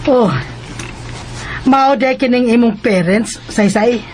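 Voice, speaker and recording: An actress playing a busy schoolteacher who employs a student helper, in a radio drama. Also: female voice, on the high side, slow, a little mellow, soft background music. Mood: reassuring, businesslike. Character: obliging single schoolteacher employer, busy and bossy at home. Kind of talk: Cebuano radio drama acting.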